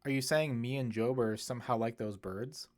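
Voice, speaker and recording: A man's voice: medium pitch, moderate pace, a little mellow, lightly acted. A man voicing a curious, moody teenage girl in an audiobook, with a direct, questioning manner. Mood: puzzled. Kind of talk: audiobook character dialogue.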